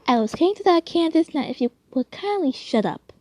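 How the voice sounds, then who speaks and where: A woman's voice: very high-pitched, sing-song, a little fast, mellow, dry recording, voice pushed up. A woman playing a bossy but concerned male leader in a fan story, speaking in a lecturing way. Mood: curt.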